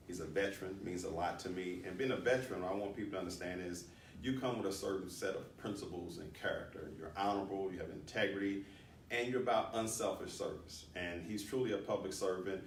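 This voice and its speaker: A man's voice: deep voice, fast. A man delivering a political endorsement in an assured, declarative way. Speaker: alderman, campaign supporter. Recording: echoey room, distant mic, faint noise.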